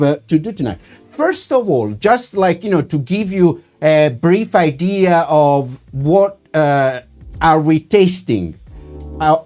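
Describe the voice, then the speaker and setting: Slow-talking man with an Italian accent. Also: fairly high pitch, darkish tone. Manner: chatty, many "uh" fillers. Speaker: wine importer hosting a tasting. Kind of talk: live-streamed presentation.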